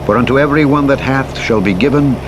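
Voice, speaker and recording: A man giving a solemn, measured, formal and dramatic reading of scripture in archaic English, as a Bible narrator. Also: medium pitch. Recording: played over clearly audible vehicle engine noise. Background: truck engine and road noise from a driving game.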